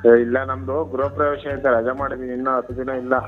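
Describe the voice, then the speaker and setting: A man's voice: medium pitch, flat delivery, quick pace, background noise. A man talking casually on the phone, blunt and dismissive. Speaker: eatery owner taking a call. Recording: dull lo-fi phone line.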